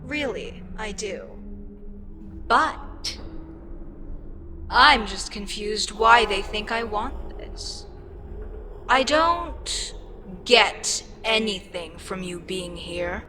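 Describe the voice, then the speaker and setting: A woman playing a frustrated, condescending, wryly amused eldritch deity in an ASMR audio roleplay, with a dryly sarcastic, unhurried manner. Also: mid-range voice, lively intonation, bright, forceful tone, a little hiss or hum, heavily acted. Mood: apologetic, blunt.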